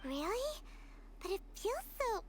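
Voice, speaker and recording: An actress playing a tiny, timid, childlike fairy companion with a whiny manner in an animated video game. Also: female voice, very high-pitched, takes it slowly, background noise, heavily acted. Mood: uneasy. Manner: speaks of self in third person, whiny. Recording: game music loud behind voice.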